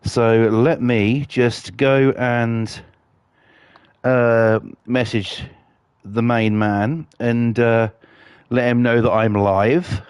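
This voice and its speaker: A man's voice: medium pitch, fairly even intonation, extremely slow, clean tone, no echo, clean studio sound. Sweary man with a cold. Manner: casual, sweary, rambling with fillers. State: has a cold. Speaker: streamer. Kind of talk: casual game stream chat.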